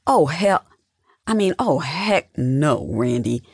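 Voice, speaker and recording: A narrator voicing a brash, nosy, excitable younger sister who talks in a sassy, vehement way. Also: mid-range voice, fairly quick, no echo, studio quality, pitched above the performer's own voice, lightly acted. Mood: outraged.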